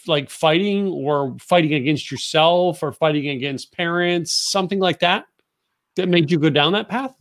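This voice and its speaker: A man hosting an interview in casual chat, rambling and filling his sentences with "you know" and "like.". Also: a little high. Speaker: podcast host.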